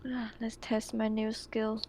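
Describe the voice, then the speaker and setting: Casting: woman with a flat, unhurried delivery, a player in a tabletop game. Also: fairly high pitch. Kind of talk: playing a tabletop roleplaying game.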